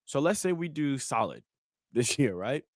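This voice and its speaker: A man's voice: medium pitch, sing-song, moderate pace. A man in his thirties hosting a casual sports podcast over a video call, joking and laughing as he talks. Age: 30s. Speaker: podcast host.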